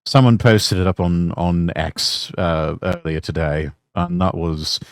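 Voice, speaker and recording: Deep-voiced man casually reacting to clips. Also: measured pace, clear, resonant tone, no echo, clean studio sound. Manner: casual, hesitant, profane asides.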